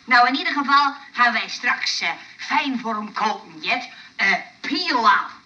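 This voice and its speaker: An actress playing a fussy, hospitable woman who runs a rest home, halting and chattering as she goes. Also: fairly high pitch, lively intonation, sharp tone, dull audio, full character voice. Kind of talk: radio play acting. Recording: slightly noisy, distant radio play recording.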